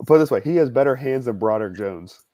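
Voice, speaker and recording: A man's voice: medium pitch, animated, quick pace, warm tone, no echo. A man in his twenties giving casual football analysis as a draft analyst. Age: 20s.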